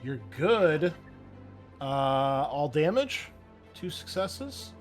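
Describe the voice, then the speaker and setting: A man's voice: fairly high pitch, sing-song, extremely slow. A man running a tabletop roleplaying game as game master, switching between dramatic narration and casual rulings. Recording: music behind the voice.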